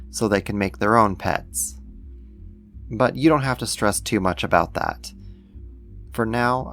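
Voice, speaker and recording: A man playing a doting alien creator who soothes a human pet, affectionate and gently possessive, in an ASMR roleplay. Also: fairly low pitch, creaky.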